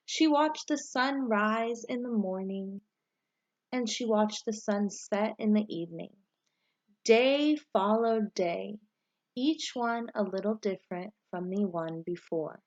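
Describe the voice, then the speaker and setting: A woman teacher reading a storybook aloud to pupils and giving them instructions in a clear way suited to children. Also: mid-range voice, takes it slowly, lo-fi sound.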